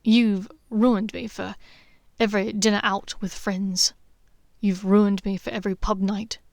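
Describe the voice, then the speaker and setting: A woman voicing a playful, affectionate young man who teases in a low, intimate tone. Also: little pitch movement, a little slow, vocal fry, no echo, clean studio sound. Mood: teasing. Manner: teasing, low and intimate, sometimes half-whispered.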